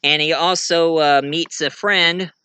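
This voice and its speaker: A man giving a casual show-and-tell of his own fiction, rambling and halting mid-sentence to correct himself. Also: on the high side, fairly even intonation, sharp tone, clear, resonant tone, no room sound. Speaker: amateur writer and online video creator.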